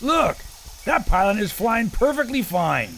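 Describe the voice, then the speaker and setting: An actor playing a cocky adventurer filmmaker and pilot in a pulp audio drama, theatrical, with old-time slang. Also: male voice, high voice, big pitch swings, a little slow, a bit bright, a little hiss or hum, exaggerated voice. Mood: breezy, confident. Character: brash adventurer filmmaker and war veteran pilot.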